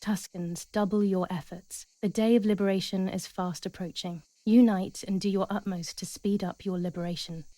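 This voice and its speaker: An actress playing a resolute wartime radio announcer, measured and formal, reading a scripted 1940s propaganda broadcast in a game. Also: female voice, medium pitch, fairly even intonation, dark tone, close to an everyday voice, rough recording. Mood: solemn, resolute.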